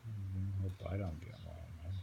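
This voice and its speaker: A middle-aged man with a low, creaky voice reads poetry and muses on it in casual asides. His delivery is very unhurried and halting, full of little hums and pauses. It is an amateur phone recording with faint background noise. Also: level tone, highs cut off. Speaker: poetry reader. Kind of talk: reading short poems aloud with casual commentary. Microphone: handheld phone.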